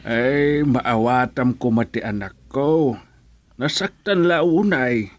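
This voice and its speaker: A man's voice: mid-range voice, slow pace, natural voice. Mild-mannered actor's man of Ilocano radio drama. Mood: sympathetic.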